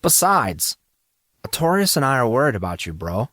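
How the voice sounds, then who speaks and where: A man voicing an excitable, stubborn teenage schoolboy, bantering in casual slang in an audio drama. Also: medium pitch, moderate pace, no echo, studio quality. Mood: earnest, bargaining.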